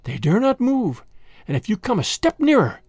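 A narrator voicing a vengeful female cobra in an imperious, threatening, deliberate manner, for a storybook. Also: medium pitch, big pitch swings, darkish tone, husky, no echo, studio quality, voice pushed up. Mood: menacing, cold.

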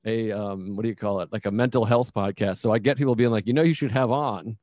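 A man's voice: on the low side, fast, darkish tone, no room sound, studio quality. An American man from Massachusetts hosting a casual interview podcast, talking in an informal, self-deprecating, rambling way. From Massachusetts, United States.

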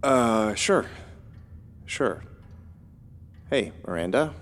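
An actor playing a critical male investor in an audio drama, with a measured, businesslike manner. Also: fairly low pitch, animated, fairly bright tone, heavily acted. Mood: hesitant, critical. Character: smooth, critical male investor on a company board. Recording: faint music behind.

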